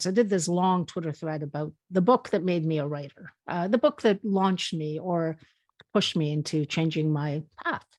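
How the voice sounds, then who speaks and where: A middle-aged woman from Spain, co-hosting a podcast and telling a long personal story in a chatty, animated way. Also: deep voice, no room sound. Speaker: podcast co-host. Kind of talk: casual storytelling chat.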